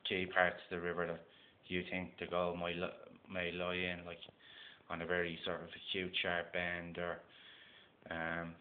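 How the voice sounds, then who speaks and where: A Dublin Irish man talks in a deep, monotone voice, stopping and restarting often as he explains things at a table indoors. The sound is thin and phone-like, with a faint hiss behind it. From Dublin, Ireland. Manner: halting, many fillers and restarts. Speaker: gold prospecting vlogger. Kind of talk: casual instructional talk to camera. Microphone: phone camera. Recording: tinny lo-fi phone recording.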